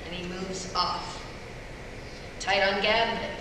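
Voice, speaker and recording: A woman's voice: medium pitch, little pitch movement, slow. A woman reading scripted action directions at a live table read. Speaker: voice actor reading stage directions. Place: large convention hall, live on stage. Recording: echoey hall PA from far off, noisy.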